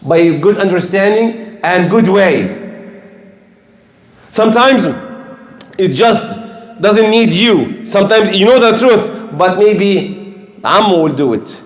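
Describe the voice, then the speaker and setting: A man's voice: high voice, expressive, slow, pauses often. An older man preaching a sermon in English, emphatic and repeating his key points. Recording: echoey room.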